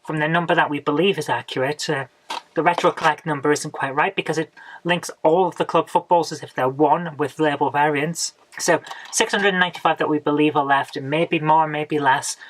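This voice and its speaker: Hoarse woman chatting casually. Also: low voice, fairly even intonation, brisk, a little hiss or hum, poor audio. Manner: chatty, rambling, with tag questions. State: hoarse, coming down with a cold. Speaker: retro gaming channel host. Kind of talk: casual haul video chat.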